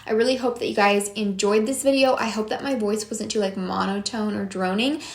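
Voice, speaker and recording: A woman's voice: medium pitch, steady tone. Chatty young woman, echoey room. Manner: chatty, unhurried and gushing. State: slightly hoarse from a long day of filming. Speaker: beauty vlogger. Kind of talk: casual product review.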